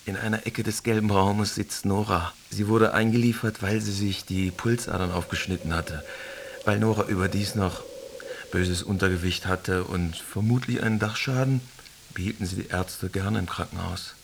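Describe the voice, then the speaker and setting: An actor playing a weary, disgusted narrator in a literary radio drama. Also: male voice, deep voice, fairly even intonation, normal speed. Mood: detached. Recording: clear hiss and background noise.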